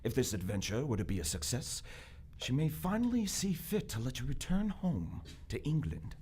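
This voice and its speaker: A man playing a stuffy, dutiful Victorian gentleman envoy, formal, precise and politely insistent, in live radio theater. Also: medium pitch, wildly swooping pitch, moderate pace, breathy edge. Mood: earnest, measured.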